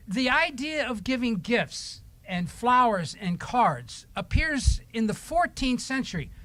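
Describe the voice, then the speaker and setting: A man's voice: high-pitched, fairly bright tone. A man leading a children's time at church, asking simple questions, repeating answers and reading short pieces aloud. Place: church service.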